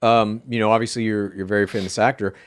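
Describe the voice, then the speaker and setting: A man's voice: lowish voice, level tone, fast. A man hosting a mock talk-show interview, playfully incredulous and often breaking into laughs. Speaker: comedy podcast host playing interviewer.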